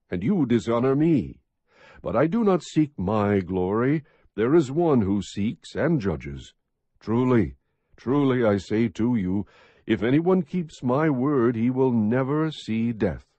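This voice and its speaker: Husky, expressive male scripture narrator. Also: fairly low pitch, dry recording, lo-fi sound. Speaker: audiobook narrator. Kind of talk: scripted scripture reading, non-dramatized narration.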